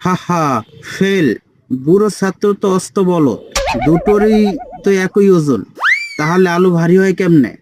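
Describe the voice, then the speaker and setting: A man's voice: high voice, expressive, a little slow, pauses often, crowd noise. A man voicing an officious, easily baffled school inspector who questions everything in a comic cartoon. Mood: amused.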